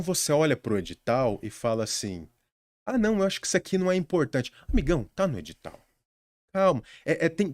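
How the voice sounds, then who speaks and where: Animated young Brazilian man giving advice. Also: on the high side, no echo, studio quality. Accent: Brazilian Portuguese. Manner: earnest, animated advice-giving with frequent restarts. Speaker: podcast guest. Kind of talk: casual podcast conversation.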